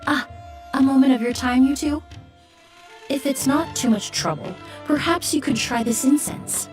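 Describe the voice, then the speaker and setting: A woman playing a calm, courteous, knowing young perfumer in a video game, speaking in a composed, formal way. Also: sits high, moderate pace, natural voice. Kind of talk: video game character acting. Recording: music behind the voice.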